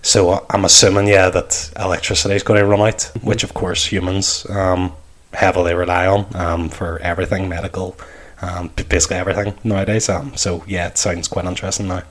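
A man with a low-pitched voice talks casually as a podcast co-host. He builds his points tentatively, pausing and thinking aloud. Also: flat delivery. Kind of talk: casual chat.

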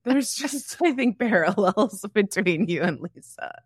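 A woman's voice: medium pitch, lively intonation, a little slow, breathy edge, dry recording, studio quality. A woman co-hosting a podcast in casual comedic chat, with a playful, teasing, wry manner. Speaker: podcast co-host.

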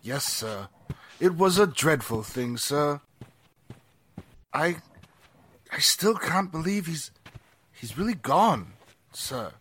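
A man's voice: a little high, very animated, slow, long pauses, a bit bright, breathy edge, heavily acted. A man playing a grave, formally polite and servile butler in a 1940s-style radio drama. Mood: mournful.

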